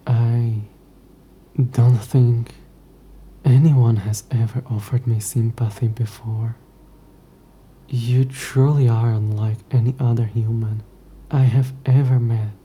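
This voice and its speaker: An ancient demon, acted by a man, speaks very slowly in a deep-shadowed, husky murmur. He is possessive, sardonic and teasing, with formal, wry phrasing, as in an intimate audio roleplay. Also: steady tone, dark tone. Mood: softly grateful.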